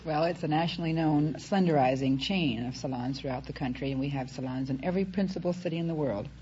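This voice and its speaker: A woman's voice: low-pitched, fast talker, dark tone. A young American woman in her twenties answers as a quiz contestant in a conversational way. The vintage broadcast sound is muffled, with faint noise behind her. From New Jersey, United States. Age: 20s. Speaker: quiz contestant, salon manager. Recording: dull, slightly noisy vintage broadcast recording.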